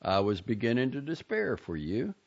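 A man's voice: mid-range voice, very animated, moderate pace, gravelly, pitched above the performer's own voice. A man voicing a drowsy, middle-aged gate guard with a folksy drawl. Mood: gruff. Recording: muffled lo-fi recording.

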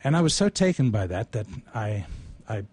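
A man's voice: on the low side, big pitch swings, moderate pace, darkish tone, slightly rough, no echo. A man who is a poet and co-host, talking and reciting in a reflective, theatrical manner with florid wording. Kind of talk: casual chat and recited poetry.

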